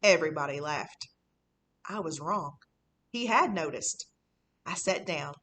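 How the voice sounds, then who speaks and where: A woman's voice: sits low, animated, measured pace, a bit bright, slight background noise, voice pushed down. A woman reading a children's book in the voice of a sneaky, boastful fifth-grade boy, telling his story casually and conspiratorially. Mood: impressed, pleased. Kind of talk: first-person children's audiobook reading.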